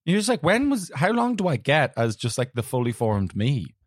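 Young guy with a gravelly hoarse voice, rambling and laughing a lot, dry mic. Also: mid-range voice, huge pitch swings, moderate pace, no echo. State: hoarse from shouting the night before. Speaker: podcast co-host. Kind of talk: casual chat.

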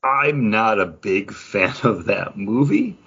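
A man talking casually as a podcast co-host, thinking his opinions through aloud in a measured way. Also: on the low side, lively intonation, gravelly, a bit bright, husky, dull audio. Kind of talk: casual opinion chat about movie rankings.

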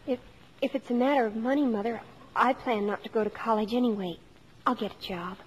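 Woman playing a high-voiced, earnest teenage daughter. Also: fairly quick, slight background noise, lightly acted, poor audio. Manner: sincere, wistful. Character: earnest, devoted teenage eldest daughter who narrates. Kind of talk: 1950s radio drama acting and narration.

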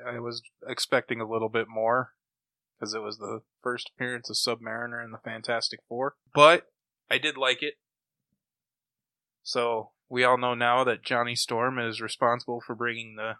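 A man's voice: medium pitch, bright, forceful tone, smooth, no room sound. A man chatting casually as a panelist on a podcast. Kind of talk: casual chat.

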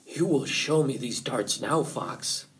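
A man's voice: mid-range voice, lively intonation, takes it slowly. A man voicing a proud, stubborn male rabbit chief in an animal folktale, insistent and demanding. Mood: defiant. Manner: defiant, insistent, boastful.

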